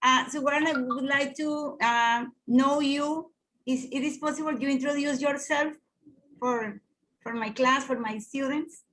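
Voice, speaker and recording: High, slow-spoken woman. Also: fairly even intonation, a bit bright, low-quality recording. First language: Spanish. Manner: hesitant, halting English with many restarts. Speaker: teacher hosting an online class exchange. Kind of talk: hosting a video call. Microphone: video call.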